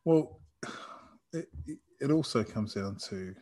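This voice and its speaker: Kiwi guy chatting, lowish voice, thoughtful, kinda halting, rewords stuff as he goes. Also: animated, dark tone. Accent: New Zealand. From New Zealand. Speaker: karate practitioner in discussion. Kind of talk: casual conversation.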